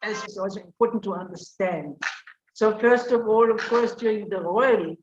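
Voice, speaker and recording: A woman from Austria giving an academic paper presentation over a video call, explaining in a measured way with some fillers. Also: medium pitch. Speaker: conference speaker. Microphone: computer mic on video call. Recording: roomy lo-fi video call audio with faint noise.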